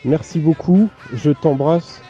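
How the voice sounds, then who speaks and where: A naive, earnest man, as an actor plays him, dictates a letter at a very slow, careful pace and reads every punctuation mark aloud. His voice is very dark and deep-toned. The sound is a bit muffled, with faint music underneath. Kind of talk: improvised comic letter.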